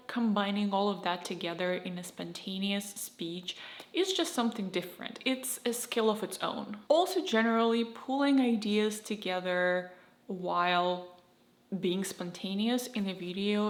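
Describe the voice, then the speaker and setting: A woman with a Russian accent talks to camera in a candid, reflective vlog monologue. Her voice sits at an ordinary middle pitch. Her phrasing comes in slightly choppy pieces, and the room gives it a noticeable echo. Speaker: YouTuber. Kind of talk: scripted personal vlog monologue. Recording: roomy echo.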